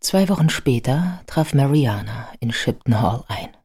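A woman narrating a biographical radio play from a script in a measured, factual manner. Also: a bass voice, lively intonation, dark tone, breathy edge. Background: faint music.

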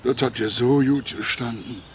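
An actor playing a courtly, flattering and wistful widowed gentleman in a radio drama. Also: mid-range voice, moderate pace, slight background noise, close to an everyday voice.

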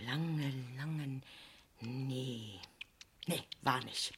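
A woman's voice: rumbling low, extremely slow, a bit bright, a little hiss or hum, full character voice. An actress playing a plain-spoken, chatty woman witness with a Berlin German accent in a 1970s radio crime play. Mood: puzzled, thoughtful. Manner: colloquial, blunt, folksy.

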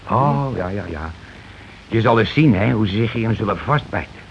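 An actor playing a domineering, self-justifying working-class husband in a radio play, talking in an insistent, colloquial, repetitive way. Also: male voice, fairly low pitch, animated, moderate pace, gravelly. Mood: blustering. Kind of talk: Dutch radio play acting. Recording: dull, noisy old radio recording.

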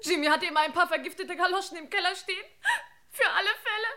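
An actress playing an imperious, lovesick gangster-bar hostess, theatrical and exclamatory, in a 1960s German radio play. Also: female voice, extremely high pitch, fast, bright, forceful tone. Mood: melodramatic, anguished.

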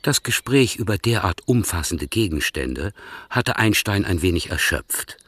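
A man narrates a radio play calmly and evenly. His voice is low and slightly husky, and he tells the scene in steady, scripted prose. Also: a little slow, no echo.

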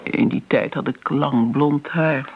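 An actress playing a genteel, self-righteous elderly woman, reminiscing and gently chiding in radio drama style. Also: low-pitched, fairly even intonation, slow pace, breathy edge, exaggerated voice. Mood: wistful. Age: older. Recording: muffled old radio play, background noise.

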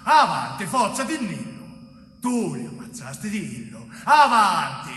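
A man's voice: high voice, very animated, unhurried, heavily acted. A male actor playing a brutal, sadistic police interrogator on stage, barking threats and insults. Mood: menacing rage. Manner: shouted orders, threats and insults, repeated obsessively. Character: brutal, sadistic carabinieri officer interrogating a prisoner. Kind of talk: theatrical monologue acting. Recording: echoey reverberant stage, background hiss.

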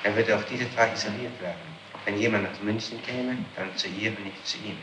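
A man with a fairly low voice speaks as a prosecutor on an old, noisy tape. He is formal and deliberate in an echoing room, putting insistent questions or reading statements. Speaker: public prosecutor. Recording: noisy echoey archival tape recording.

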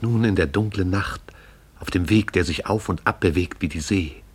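A man narrating a radio play in a lyrical, measured way. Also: sits low, husky. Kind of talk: poetic radio drama narration.

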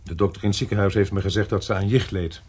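Husky, weary police inspector. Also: male voice, fairly low pitch, fairly even intonation, quick pace, darkish tone. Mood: matter-of-fact. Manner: curt, dry, matter-of-fact. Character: weary, sceptical male police inspector, divorced. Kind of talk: radio play acting and narration.

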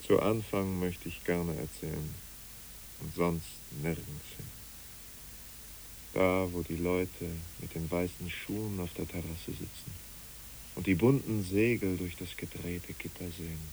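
An actor playing a brooding, grieving man in a poetic German radio drama, speaking in halting, musing phrases. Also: sits low, steady tone, darkish tone, poor audio. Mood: brooding, mournful. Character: brooding, grieving male friend, haunted by memories. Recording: clear tape hiss.

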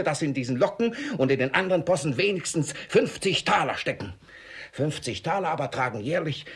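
Very raspy, grumbling old miser. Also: male voice, mid-range voice. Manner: grumbling, muttering, blustering. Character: miserly, suspicious, irascible old father hoarding gold. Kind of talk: classic comedy radio play acting.

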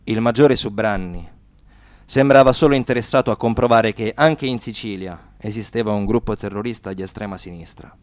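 A man narrating a radio theatre piece with dramatic delivery, moving between hushed and emphatic phrases. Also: lowish voice, brisk, no echo, studio quality.

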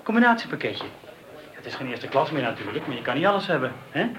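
An actor playing a cynical, wisecracking police detective in a radio play, speaking in a flippant, offhand, sardonic manner. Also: male voice, mid-range voice, very animated, quick pace, heavy background noise, close to an everyday voice. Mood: flippant, teasing. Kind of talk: naturalistic radio play acting.

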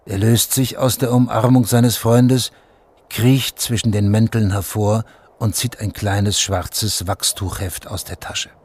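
A man's voice: lowish voice, level tone, takes it slowly, a little mellow, husky. A man narrating a scripted radio drama in a sober, factual manner, in short plain statements.

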